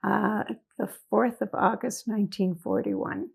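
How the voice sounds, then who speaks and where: A woman in her eighties, originally from Hungary, giving a recorded oral history interview in Toronto, speaking haltingly with many pauses and fillers. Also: medium pitch, sing-song, gravelly, darkish tone, breathy edge. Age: 80s. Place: indoors in Toronto.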